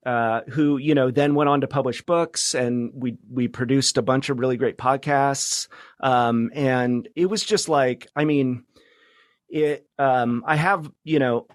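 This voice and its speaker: A man talks as a guest in a relaxed interview. His voice sits at an average pitch in a very dry recording. He speaks reflectively and haltingly, circling back to restart phrases. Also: no room sound. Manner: halting, reflective, many false starts. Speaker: podcast guest, media founder and journalist. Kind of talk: casual interview conversation.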